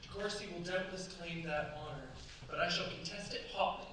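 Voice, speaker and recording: Guy acting a doting gushy new husband in a radio play, highish voice, big echo. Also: brisk, lightly acted. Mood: proud, enthusiastic. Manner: effusive, theatrical, courtly. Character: eager, doting young French bridegroom, ironmaster. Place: staged performance in a cultural centre. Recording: very echoey hall, distant mics, faint hiss.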